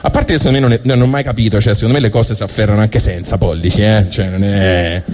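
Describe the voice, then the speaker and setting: A man with a Tuscan accent delivering a colloquial, digressive comic monologue on stage, with halting asides. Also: on the low side, steady tone, background noise. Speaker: comic storyteller. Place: live stage show.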